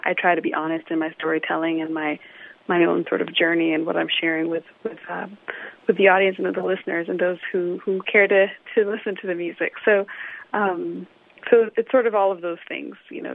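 A young woman musician from Illinois answering interview questions over the phone, thoughtful and hesitant, with many pauses and ums. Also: on the low side, vocal fry, no echo. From Illinois, United States. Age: young adult. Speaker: musician guest. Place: New York City. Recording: phone line.

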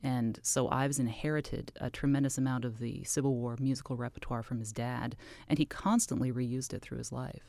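A woman's voice: extremely low pitch, steady tone, normal speed, vocal fry, warm tone, dry recording. Thoughtful Canadian-raised woman explaining. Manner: thoughtful, explanatory, asks rhetorical questions. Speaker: author and music scholar. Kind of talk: radio interview guest.

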